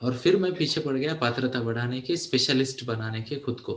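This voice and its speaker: A man's voice: medium pitch, fairly quick. Echoey forties man, motivational mentor. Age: 40s. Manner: mixes English phrases into Hindi, asks "है ना?" often. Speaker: teenage mentor and coach. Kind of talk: motivational talk to young listeners.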